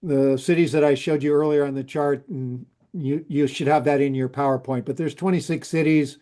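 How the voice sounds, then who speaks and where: A man presenting water rates to a council over a video call, halting and full of restarts and fillers. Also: fairly high pitch, steady tone, dark tone. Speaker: utility rate consultant. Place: remote, joining by video call.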